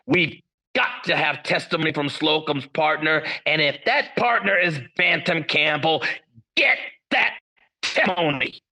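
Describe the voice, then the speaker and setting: A blunt police skipper, bright, kinda high voice. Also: male voice, a little slow, exaggerated voice, low-quality recording. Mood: stern. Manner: blunt, insistent. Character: gruff, demanding male police captain. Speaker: police captain. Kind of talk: radio drama acting.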